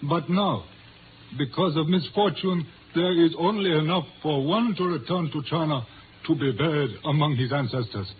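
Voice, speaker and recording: A muffled, lightly noisy old radio recording carries an actor playing a proud older Chinese patriarch. His voice sits fairly high for a man. He speaks with stately, formal gravity, every phrase measured. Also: close to an everyday voice. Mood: grave, ceremonious. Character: proud, solemn older Chinese father and community leader. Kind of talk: 1950s radio drama acting.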